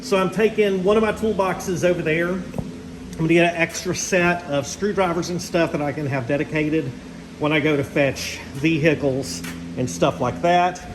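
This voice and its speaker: Man, slightly high voice, casual vlogger, folksy and slangy, rambling delivery, noisy ambient recording. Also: moderate pace. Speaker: host of a garage and farm vlog. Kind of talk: casual vlog chat, off the cuff. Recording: clearly noisy ambient background.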